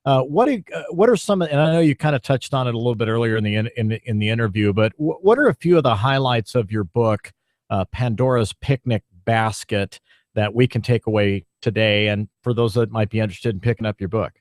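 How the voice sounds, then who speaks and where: A man's voice: medium pitch, brisk, no room sound, studio quality. A man hosting a morning talk radio interview in a courteous, folksy, conversational manner.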